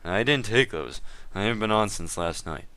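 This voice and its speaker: A man acts out a smug accuser in a deep, low voice. He keeps a measured, condescending tone and presses each point insistently, as in a staged reading of an online feud. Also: animated, pushed and bright, clear, resonant tone. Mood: matter-of-fact. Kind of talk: dramatic reading of online chat drama.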